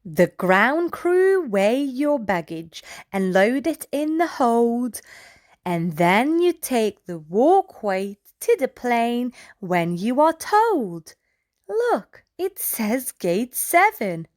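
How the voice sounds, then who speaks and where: Woman, children's read-aloud host, unhurried pace, animated intonation, playful storybook delivery. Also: fairly high pitch. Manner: addresses small children, playful sound effects.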